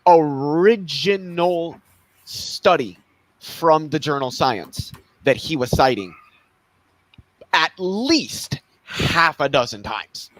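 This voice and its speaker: A man with a somewhat high voice talks bluntly and indignantly, swearing freely in a casual chat. The sound is dry and close to the mic. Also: lively intonation, takes it slowly, dry recording, rough recording. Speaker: co-host of a debate review stream.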